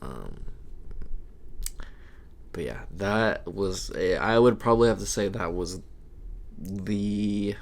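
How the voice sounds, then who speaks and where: A young man, a podcast co-host, talking in a slow, halting and reflective way. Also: sits low, animated, creaky. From Hawaii. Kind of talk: casual podcast chat.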